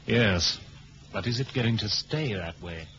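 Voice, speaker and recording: Forceful, curt British spy chief. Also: male voice, lowish voice, animated, measured pace. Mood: probing. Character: curt, commanding male British intelligence chief. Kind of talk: 1970s radio drama acting. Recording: dull, hissy old radio recording.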